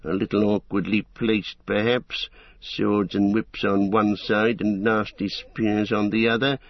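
A man's voice: fairly low pitch, flat delivery, unhurried, no echo, dull audio. A man voicing a menacing, greedy orc in an audiobook, hissing and drawing out mock-tender words with cruel relish. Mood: mock-friendly menace. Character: sly, menacing male orc, greedy, falsely sweet, cruel. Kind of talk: audiobook fantasy villain.

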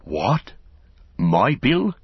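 A man voicing a loyal, plain-spoken and excitable young hobbit servant in a storybook, with blunt, homely speech. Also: low-pitched, measured pace, no room sound, highs cut off. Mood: overjoyed. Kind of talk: audiobook character acting.

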